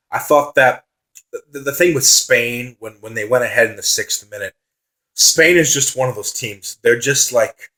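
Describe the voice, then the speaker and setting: Young man, football vlogger, dry slightly raspy voice, excitable, repeats himself for emphasis, middle-pitched. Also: poor audio. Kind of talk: casual solo reaction commentary.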